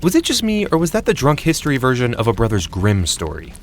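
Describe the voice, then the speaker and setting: A man's voice: mid-range voice, huge pitch swings, quick pace, slight background noise. A man playing a sarcastic, skeptical hiker in a fiction podcast, with a dry, mocking manner. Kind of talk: audio fiction podcast acting.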